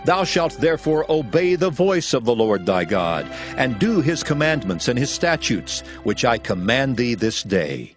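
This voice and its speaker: A man's voice: mid-range voice, very animated, a little slow, hardly any pauses. An actor playing a solemn, commanding lawgiver who instructs his people, in a dramatized scripture reading. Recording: music bed beneath voice.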